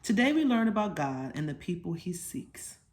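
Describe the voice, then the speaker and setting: Female storyteller, slightly low voice, reading a children's picture book and chatting to young listeners. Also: sing-song, moderate pace. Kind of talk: storybook read-aloud with asides to young listeners.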